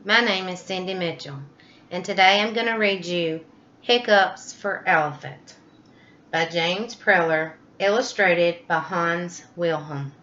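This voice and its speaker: A woman reading a children's story aloud, expressively, with deliberate dramatic emphasis. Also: lowish voice, sharp tone, highs cut off. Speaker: storybook reader.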